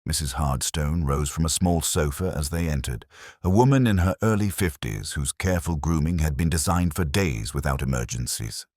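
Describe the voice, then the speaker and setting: A man narrating a scripted mystery story in a measured, literary manner. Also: very deep voice, animated, darkish tone, dry recording, clean studio sound. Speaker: audiobook narrator.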